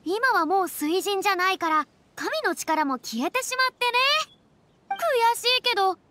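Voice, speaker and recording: An actress playing a proud young former water goddess in an anime-style game, grandiose and boyish in phrasing, full of bluffing confidence. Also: female voice, very high voice, animated, normal speed, sharp tone, a little hiss or hum, exaggerated voice. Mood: proudly bluffing.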